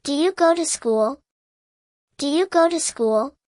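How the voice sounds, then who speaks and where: A woman narrating a scripted beginner language drill in careful, measured, simple phrases, repeating each sentence. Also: sits high, animated, a little mellow, no room sound. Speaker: English teacher narrator.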